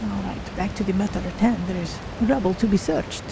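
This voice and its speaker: A woman voicing a calm, thoughtful, curious female cat-folk adventurer as she narrates her way through a game. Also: medium pitch, brisk, vocal fry, background noise, lightly acted. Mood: musing. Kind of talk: in-character game stream narration.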